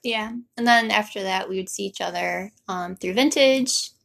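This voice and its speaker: Young female host, conversational and informal, medium pitch with noticeable gravelly fry. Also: moderate pace, a bit bright. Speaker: host telling the couple's story. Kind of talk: casual chat.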